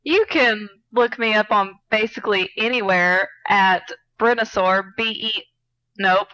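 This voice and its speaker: A woman's voice: mid-range voice, measured pace, sharp tone, no echo, low-quality recording. A woman co-hosting a podcast with casual banter and playful short asides. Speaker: podcast co-host.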